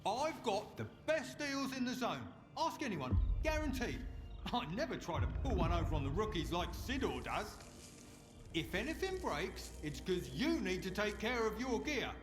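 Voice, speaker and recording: High-voiced, very animated boastful trader. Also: male voice, normal speed, in a large hall, music in the background. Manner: salesman-like, gossipy. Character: chatty, boastful wheeler-dealer trader in a wasteland. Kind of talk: video game dialogue.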